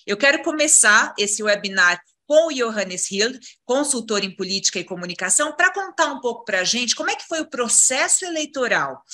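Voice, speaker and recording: A woman's voice: medium pitch, pushed and bright. A woman with a Brazilian Portuguese accent moderating an online panel, introducing guests in long flowing sentences with "é" fillers, over a video call. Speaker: webinar moderator.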